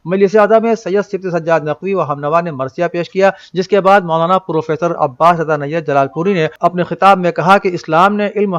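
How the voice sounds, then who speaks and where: Higher-pitched man reading a news bulletin. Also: dry recording, dull audio.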